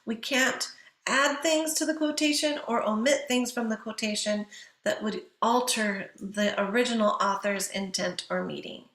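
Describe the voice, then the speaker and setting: A woman's voice: a little high, moderate pace, a bit bright, echoey room. A woman giving an instructional writing lecture in a didactic way, posing questions to listeners and answering them herself.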